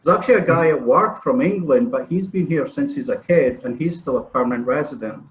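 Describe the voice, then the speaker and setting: A man's voice: mid-range voice, animated, brisk, low-quality recording. A middle-aged man from Scotland with a Scottish accent, a podcast guest talking about strongman competitions in a modest, matter-of-fact way. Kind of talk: casual chat.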